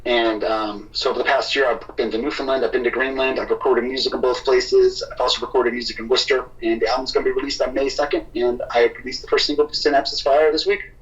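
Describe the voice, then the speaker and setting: A man's voice: medium pitch, level tone, fast talker, hardly any pauses, a bit bright. A man, a singer-songwriter guest, talking casually by phone in an earnest way with many fillers. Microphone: phone line. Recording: dull, distant phone line.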